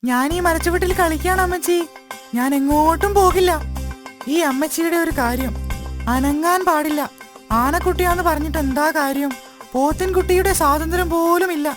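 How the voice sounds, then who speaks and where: A woman's voice: very high voice, moderate pace. A woman voicing a young male baby elephant in a children's cartoon, childlike, restless and complaining. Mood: grumbling, bored. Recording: music behind the voice.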